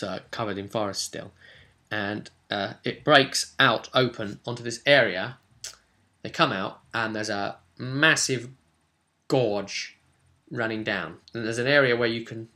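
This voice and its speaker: Bright-voiced man rambling through a game recap. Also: medium pitch. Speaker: game master recapping a tabletop session. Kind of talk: casual unscripted session recap.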